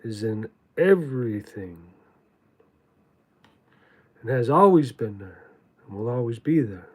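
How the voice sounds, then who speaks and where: A man giving a contemplative spiritual monologue in a halting, deliberate way, repeating words for emphasis. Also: medium pitch, animated, slightly rough. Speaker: spiritual teacher reading from own writings.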